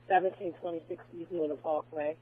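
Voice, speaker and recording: A man's voice: fairly high pitch, steady tone, a little fast. A man speaking in police radio traffic. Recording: dry, tinny police radio.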